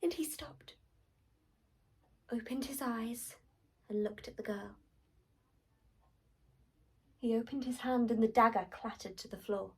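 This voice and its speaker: Woman telling a fairy tale, dramatic n slow, bit husky, lots of deliberate pauses. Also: a little high. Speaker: storyteller.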